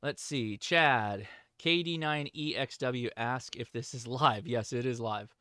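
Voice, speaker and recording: A man's voice: mid-range voice, a little slow, sharp tone, clear, resonant tone, dry recording, clean studio sound. A man hosting a livestream, answering chat questions in a casual, informal, enthusiastic hobbyist way.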